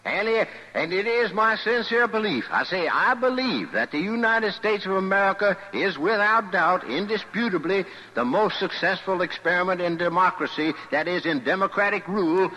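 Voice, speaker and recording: A man's voice: high voice, lively intonation, normal speed, hardly any pauses, pushed and bright, voice pushed up, exaggerated voice, low-quality recording. A man voicing a pompous, blustering old politician making an emphatic, repetitive speech in a comic radio spot. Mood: proud, blustering. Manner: pompous, repeats himself emphatically.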